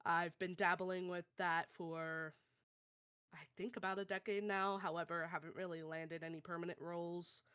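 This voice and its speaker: A woman's voice: fairly low pitch, flat delivery, normal speed, a bit bright, clean tone. A woman streamer giving a casual, informal introduction of herself. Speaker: streamer and aspiring voice actor.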